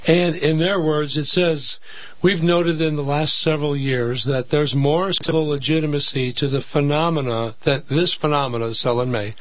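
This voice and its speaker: Slightly hoarse man, fairly high voice, dry studio sound, steady explainer on talk radio. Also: dry recording. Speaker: financial advisor guest. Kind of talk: radio interview. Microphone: studio microphone.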